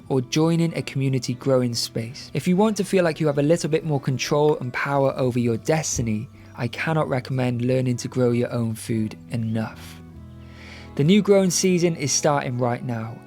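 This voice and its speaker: A man talking earnestly and warmly about homestead life in a casual vlog, recorded outdoors in a garden. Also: medium pitch. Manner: earnest, heartfelt, upbeat. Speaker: homesteading vlogger. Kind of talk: reflective vlog narration and casual chat.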